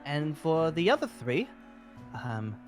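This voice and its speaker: A man's voice: fairly high pitch, big pitch swings, takes it slowly. A man playing an eager, apologetic fantasy guild leader in improvised roleplay, talking in a hesitant, rambling way. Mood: gracious, rambling. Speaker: roleplay performer. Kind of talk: improvised Minecraft roleplay dialogue. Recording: music under the voice.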